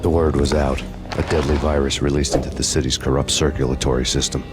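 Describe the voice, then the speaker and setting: Deadpan deep noir cop narrator. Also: male voice, quick pace, over loud music. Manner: gravelly, deadpan monotone.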